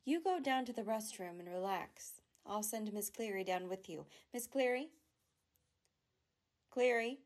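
A woman playing a considerate telephone office supervisor in an old-time radio drama, composed and quietly directive. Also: mid-range voice, lively intonation, unhurried, close to an everyday voice.

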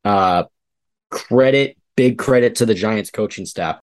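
A man's voice: fairly low pitch, unhurried. A man co-hosting a casual sports talk show, chatty and full of fillers as he analyzes a game. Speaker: podcast co-host.

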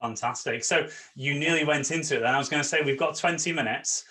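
A man's voice: on the high side, quick pace, pushed and bright. A man hosting a leadership podcast, upbeat and enthusiastic as he interviews a guest. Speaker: podcast host.

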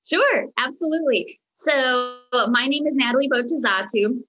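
A woman's voice: sits high, lively intonation, moderate pace. Young american woman on a podcast, romanian first language, chatty and upbeat. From United States. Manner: warm, enthusiastic storytelling. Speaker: podcast guest, mindset coach. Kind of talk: casual interview chat. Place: home in Los Angeles, remote call.

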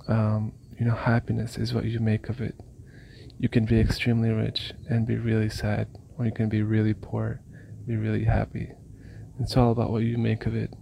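A man raised in Malaysia recording a calm, reflective voice memo on his phone. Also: lowish voice, creaky, a little hiss or hum. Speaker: listener sending a voice memo. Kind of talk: casual voice memo.

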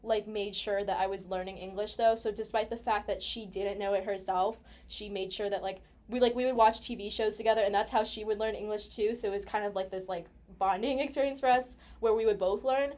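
A woman's voice: medium pitch, a little fast, few pauses. A young American woman in casual personal conversation, chatty and peppering her talk with "like". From United States. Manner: chatty, animated storytelling with heavy use of "like".

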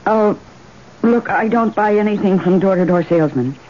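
A woman's voice: medium pitch, lively intonation, moderate pace, darkish tone, dull audio, natural voice. Submissive, secretive wife played by a woman for radio mystery; swooping delivery, dulled vintage sound. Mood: wary, flustered. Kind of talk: old-time radio mystery drama acting. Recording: band-limited old radio drama recording.

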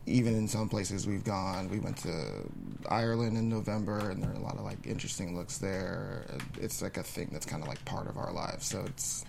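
A man's voice: low-pitched, steady tone, moderate pace, vocal fry. A man speaking casually and conversationally as an actor on a discussion panel. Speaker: actor on a table-read panel. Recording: background noise, room ambience.

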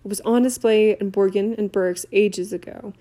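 A woman's voice: mid-range voice, fairly even intonation, normal speed, warm tone, natural voice. Woman doing an urgent teenage boy wizard. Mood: serious. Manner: urgent, raised voice.

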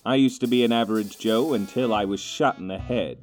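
Man playing a pompous deadpan amnesiac detective. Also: lowish voice, a little fast, close to an everyday voice. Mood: grave, self-serious. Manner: deadpan, self-important. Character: amnesiac male detective, pompous, absurdly confident and unhinged. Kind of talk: comedic radio drama acting. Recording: music bed under the voice.

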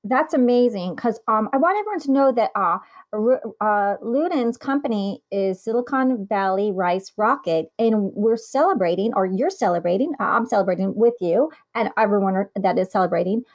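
A woman's voice: mid-range voice, animated, normal speed. A woman interviewing a guest, enthusiastic and sometimes fumbling her phrasing. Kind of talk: casual interview.

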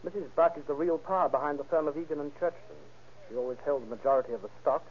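An actor playing a polite gentleman witness with formal wording in an old radio drama. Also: fairly high pitch, fast, a little hiss or hum, close to an everyday voice. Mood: courteous. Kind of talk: 1930s radio acting. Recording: muffled old radio recording.

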